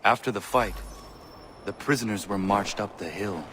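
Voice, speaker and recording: An actor as a low, stoic young warrior. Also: male voice, normal speed, background noise, lightly acted. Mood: firm. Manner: formal, restrained, few contractions. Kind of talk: video game cutscene acting.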